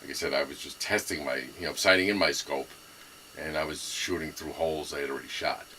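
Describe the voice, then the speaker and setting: A man's voice: rumbling low, fairly even intonation, brisk, bright, forceful tone, a little hiss or hum. A middle-aged man with a Brooklyn accent, rambling casually with lots of fillers and restarts as he shows off his rifle. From New York. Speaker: gun owner reviewing his rifle. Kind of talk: casual rambling show-and-tell.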